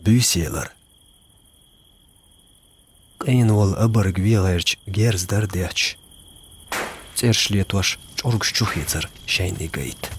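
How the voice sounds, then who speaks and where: A man voicing a grave, solemn narrator figure in an animated film, speaking in short phrases. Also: sits low, slow, pauses often, slight background noise. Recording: voice under loud background music.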